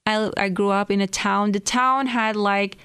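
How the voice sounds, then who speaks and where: A woman's voice: mid-range voice, fairly even intonation, measured pace, a bit bright, smooth, no echo. A young woman from Iranian Kurdistan, a native Kurdish speaker with a Kurdish accent, answering interview questions hesitantly and searching for words. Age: young adult. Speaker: guest.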